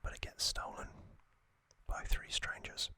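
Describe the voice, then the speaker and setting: Very slow, soft ASMR whisper. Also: no room sound. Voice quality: breathy unvoiced whisper. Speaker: ASMR host.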